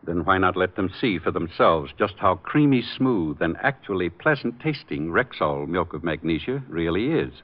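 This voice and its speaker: An American man as a druggist pitchman, giving a folksy, reassuring scripted 1940s radio commercial. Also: expressive, moderate pace, few pauses. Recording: muffled old radio recording.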